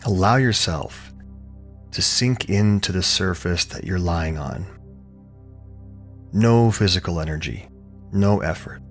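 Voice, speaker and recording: A man with a low voice leads a guided yoga meditation. He is calm and soothing, giving gentle instructions in measured phrases with space between them. Faint music plays behind his voice. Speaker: yoga and meditation teacher leading a guided practice.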